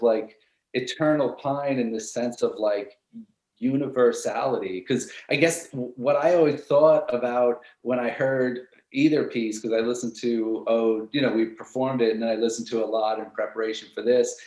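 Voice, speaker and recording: A man with a mid-pitched voice talks in a noticeably echoey room. He is a guest percussionist in an informal discussion, rambling with enthusiasm and rephrasing as he goes. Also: a little mellow. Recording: roomy, echoey sound.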